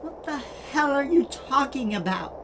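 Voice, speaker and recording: A woman playing a betrayed, wounded friend in an audio drama, speaking in a deliberate, accusing way. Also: sits high, expressive. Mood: hurt, furious. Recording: clear background noise and ambience.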